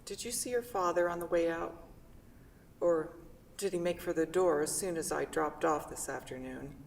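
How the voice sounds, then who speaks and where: A woman's voice: normal speed, vocal fry, a little hiss or hum. A woman playing a bitter, ailing mother who complains and accuses from a hospital bed, in a staged play reading. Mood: bitter, accusing. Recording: rumbly, echoey hall from far off.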